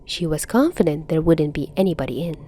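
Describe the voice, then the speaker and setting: A woman reading fan fiction aloud as narrator, in an expressive audiobook style. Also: low-pitched, brisk, slight background noise.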